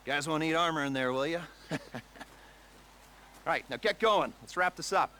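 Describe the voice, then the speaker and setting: A brisk heist planner in a game cutscene speaks in a fairly high male voice. He is clipped and glib, breezily downplaying danger, over faint background noise. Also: pushed and bright. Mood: brisk, impatient. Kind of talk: video game cutscene acting.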